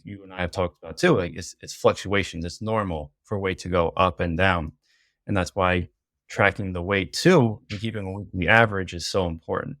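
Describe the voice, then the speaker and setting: A man's voice: low voice, a bit bright, dry recording, studio quality. A man who works as a teacher, chatting as a podcast guest, hesitant and often repeating words and saying "you know". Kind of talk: casual podcast conversation.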